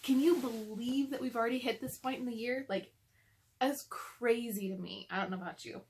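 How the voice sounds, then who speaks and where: A woman's voice: a little high, normal speed, a little mellow, slight background noise. A young adult woman chatting casually to children and reading a storybook aloud to them.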